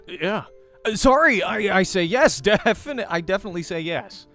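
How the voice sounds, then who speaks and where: A man's voice: sits high, moderate pace, soft background music, voice pushed up, full character voice. Man acting a flustered, stammering young gamer. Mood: flustered, thrilled. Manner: stammering, excitable.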